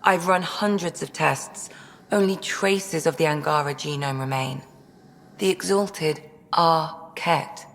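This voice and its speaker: An actress playing a composed, caring doctor in a video game, measured and matter-of-fact. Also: female voice, sits low, fairly bright tone, slight background noise, full character voice. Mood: grave, caring. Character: composed, caring female ship's doctor and scientist. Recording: reverberant room with faint music.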